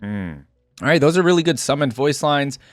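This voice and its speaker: A man's voice: on the high side, animated, brisk, no room sound, clean studio sound, soft background music. A man hosting a reaction video, giving casual, musing commentary on game voice lines in a conversational way.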